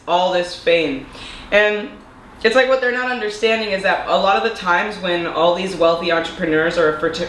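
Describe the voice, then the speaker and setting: A woman's voice: on the low side, a bit bright. Congested young woman in an echoey room. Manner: earnest, lecturing, asks rhetorical questions. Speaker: vlogger. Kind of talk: casual opinion monologue to camera. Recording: echoey room with faint noise.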